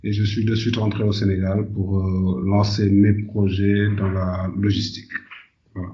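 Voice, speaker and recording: A man's voice: on the low side, little pitch movement, normal speed, dark tone, muffled recording, slight background noise. A man from Senegal answering conversationally as an interview guest, often repeating words as he searches for phrasing. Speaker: podcast guest, entrepreneur.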